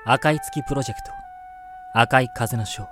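A credits announcer reading out the end credits of a fan voice drama in a slow, formal manner. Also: medium pitch, loud music behind the voice.

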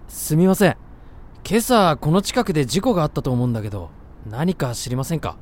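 Grumpy young man played by a woman, low register, blunt masculine speech, swinging intonation, audio drama acting, slight background noise. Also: lively intonation, a little fast, darkish tone. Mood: polite, inquiring. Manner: rough, blunt masculine speech, exasperated retorts. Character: grumpy but kind-hearted young man haunted by a ghost. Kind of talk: Japanese amateur voice drama acting.

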